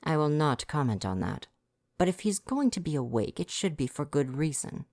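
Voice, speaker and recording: A man's voice: fairly high pitch, very animated, moderate pace, darkish tone, no echo, clean studio sound. A man playing a prim, devoted royal butler with formal, fretful diction in an ASMR roleplay. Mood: scolding, prim.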